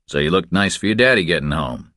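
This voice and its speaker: A man voicing a steady, protective tough man, calm, firm and terse, in an audiobook thriller. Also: low-pitched, fast talker, no room sound, studio quality. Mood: gentle instruction. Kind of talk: audiobook character dialogue.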